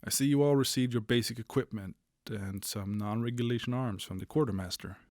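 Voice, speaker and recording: A man's voice: mid-range voice, fairly quick, darkish tone, natural voice. A man playing a petty, officious military major in an audio drama, hesitant and bureaucratic as he gives orders. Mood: brisk, formal. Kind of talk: post-apocalyptic audio drama acting.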